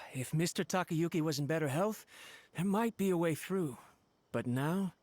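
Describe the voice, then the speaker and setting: Male actor as gentle retainer; a bit high, quiet, respectful. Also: normal speed, natural voice. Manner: soft-spoken, deferential. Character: loyal male servant, gentle and deferential. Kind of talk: game cutscene dialogue.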